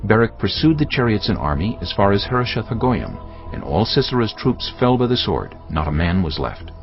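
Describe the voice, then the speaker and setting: Deep, dramatic male narrator. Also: sing-song. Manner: measured, solemn storytelling. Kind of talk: dramatic scripted Bible narration. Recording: dull audio with music behind. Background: music.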